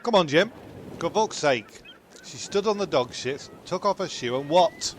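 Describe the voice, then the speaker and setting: A man's voice: fairly high pitch, expressive, unhurried, slight background noise. A man playing an irritable, cheeky, foul-mouthed castaway in a comedy radio play. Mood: impatient. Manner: blunt, swearing.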